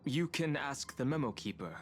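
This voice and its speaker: A man voicing a calm, reserved and serious young crew member in a game, restrained and matter-of-fact. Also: fairly high pitch, moderate pace, music in the background, natural voice. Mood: reserved, reassuring. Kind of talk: anime-style game voice acting.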